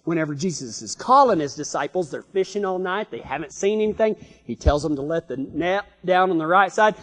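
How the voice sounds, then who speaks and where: Texan guy preaching, high-ish voice, lots of restarts and little laughs, big hall echo. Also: animated, brisk, a bit bright. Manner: rambling, self-correcting, chuckling storyteller. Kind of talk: folksy storytelling sermon.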